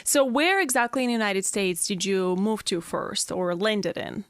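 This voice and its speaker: A woman's voice: mid-range voice, expressive, moderate pace, a bit bright, clear, resonant tone, no room sound, clean studio sound. A young woman hosting a podcast interview, encouraging her guest with frequent mm-hmms and exclaimed wows. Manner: encouraging, frequent mm-hmm and wow. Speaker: podcast host. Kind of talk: casual interview.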